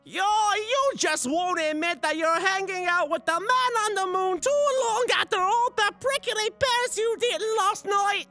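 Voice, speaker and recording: A woman playing a small, cheeky, excitable troll-like creature in a fantasy audio drama. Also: extremely high pitch, measured pace, hardly any pauses, faint music behind, full character voice. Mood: teasing.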